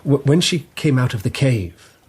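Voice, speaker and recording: An actor playing a dreamy, curious emperor in a radio drama, speaking in a measured, stately, wondering way. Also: male voice, medium pitch, animated, darkish tone, breathy edge. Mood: intent, fascinated.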